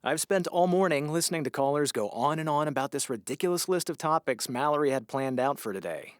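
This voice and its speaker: Here is a man playing a weary, melodramatic radio host in a comedy audio drama. His delivery is sarcastic and grumbling, with big pitch swings. The recording is close and dry. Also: animated, brisk, dry recording, natural voice. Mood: dry, defensive. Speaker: radio station host character.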